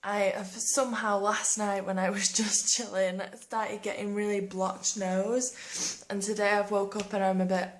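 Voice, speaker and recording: A woman's voice: mid-range voice, unhurried, a little hiss or hum. Congested, nasal young woman. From England. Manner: chatty and excitable. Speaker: vlogger. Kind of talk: casual daily vlog. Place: home, indoors.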